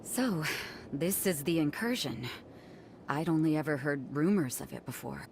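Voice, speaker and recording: A woman's voice: deep voice, lively intonation, normal speed, a little hiss or hum. A woman playing a resolute, protective female commander and guarded older sister in a video game cutscene, commanding and earnest with a teasing streak. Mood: concerned, calm. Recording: loud music behind the voice. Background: loud game music.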